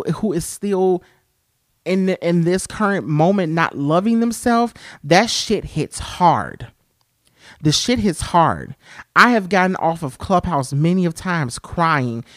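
Man podcast host, high-pitched voice, candid solo talk, dry close sound. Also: dry recording. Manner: frank and expressive, with frequent "you know" fillers.

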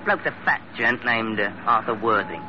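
A man's voice: medium pitch, moderate pace, lightly acted. An actor playing a shifty, chatty working-class man in a 1940s radio drama, colloquial and ingratiating. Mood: sly, confiding. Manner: colloquial, ingratiating, slightly sinister. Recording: muffled, noisy old radio recording.

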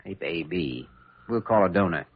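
An actor playing an earnest, resolute young surgeon in an old radio drama, speaking in a measured, grave way. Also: male voice, deep voice, expressive, very noisy. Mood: focused, clinical. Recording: muffled old radio recording.

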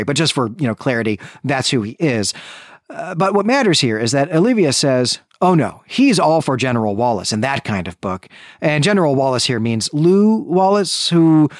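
A man's voice: mid-range voice, no room sound, studio quality. A man co-hosting a podcast, talking through a literary recap in a bookish, discursive, lightly amused conversational way. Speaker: podcast co-host.

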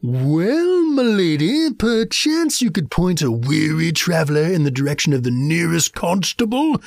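Folksy, animated American dad. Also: male voice, a little high, measured pace, creaky, no room sound, studio quality, heavily acted. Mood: playfully theatrical. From rural South Dakota. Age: middle-aged. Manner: affable, rambling, corny small talk. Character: chatty, oblivious, folksy suburban American dad. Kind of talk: audio fantasy comedy acting.

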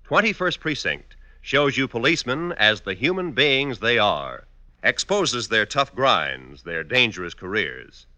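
A man's voice: mid-range voice, very animated, a little slow, pushed and bright, no echo. A man announcing in an old-time radio style, polished and emphatic, reading a scripted promo.

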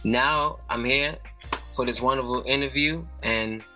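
A man from the North Bronx guests on a casual podcast, explaining at ease and peppering his talk with "you know". His voice is very bright and sharp, over faint background music. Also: mid-range voice, measured pace. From North Bronx, New York. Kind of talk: casual interview.

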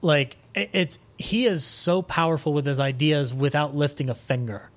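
A man speaking as a podcast guest in a casual film discussion, animated and opinionated, swearing freely. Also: on the high side, moderate pace.

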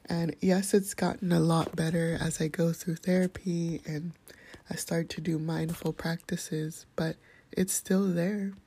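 A calm, soft-spoken woman hosting a podcast, sharing a reflective personal monologue in a hushed, whispery way. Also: fairly low pitch, breathy edge. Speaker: podcast host.

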